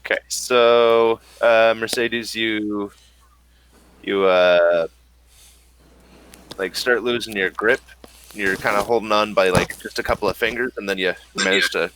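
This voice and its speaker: A man narrating a tabletop horror game as game master, in slow and halting speech with many fillers. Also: on the low side, steady tone, a bit bright, a little hiss or hum, low-quality recording.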